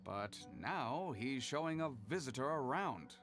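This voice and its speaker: Animated, courteous game registry clerk. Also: male voice, medium pitch, measured pace, music in the background. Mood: businesslike. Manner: courteous, procedural. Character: polite, officious male clerk registering volunteers. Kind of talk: video game voice acting.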